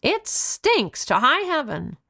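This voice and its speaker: A woman's voice: high-pitched, wildly swooping pitch, slow pace, gravelly, pushed and bright, no room sound, clean studio sound, voice pushed up, heavily acted. A woman voicing a sour, disgusted landlady in a children's storybook, snappish and indignant. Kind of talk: children's storybook read-aloud.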